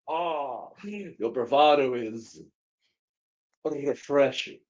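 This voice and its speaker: A man's voice: on the high side, very animated, drawn-out words, pitched above the performer's own voice, full character voice. Man playing a muffled, brooding Regency ghost. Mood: darkly amused. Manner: formal, theatrical, menacing. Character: brooding, proud male Regency ghost, darkly amused seducer. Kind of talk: dramatic live reading of paranormal romance.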